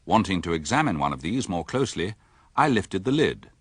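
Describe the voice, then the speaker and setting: Low, husky village doctor. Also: male voice, very animated, brisk, fairly bright tone, no room sound, full character voice. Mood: measured narrating. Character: mild, measured middle-aged male village doctor. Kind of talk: radio drama dialogue and first-person narration.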